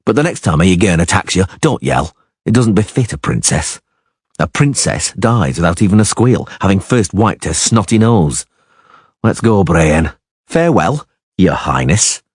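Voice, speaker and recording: A man voicing a gruff, sardonic monster-hunter who talks in a dry, mocking, stern way. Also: deep voice, big pitch swings, normal speed, no room sound, exaggerated voice.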